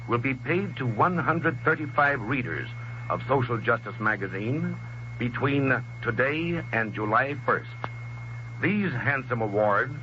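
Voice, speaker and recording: Forceful 1930s radio announcer, a man. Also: mid-range voice. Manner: formal, emphatic oratorical delivery. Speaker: radio announcer and contest manager. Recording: muffled, noisy 1930s radio recording with hum.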